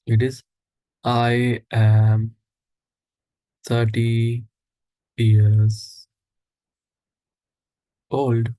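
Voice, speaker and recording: Man, instructor, live coding lesson, step-by-step explaining, asks the class questions, mid-pitched voice. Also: monotone, extremely slow, long pauses, mellow, poor audio.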